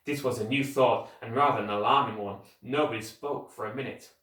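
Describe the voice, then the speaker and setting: A man reading a children's story aloud as a teacher would, in an animated storytelling style. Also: mid-range voice, fairly quick. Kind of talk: animated storytelling, scripted narration. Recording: roomy echo, distant microphone.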